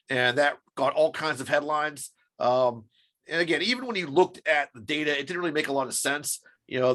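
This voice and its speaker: A man's voice: on the high side, fairly bright tone. A man giving explanatory interview commentary as a research scholar, emphatic and often restating his points. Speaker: podcast guest, research scholar.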